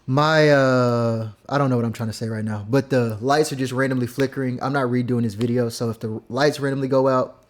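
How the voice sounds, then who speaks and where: A man's voice: mid-range voice, steady tone, brisk. Laid-back man explaining his studio tricks. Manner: laid-back, rambling, with fillers and casual swearing. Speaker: music producer teaching a tutorial. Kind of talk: casual screen-share tutorial.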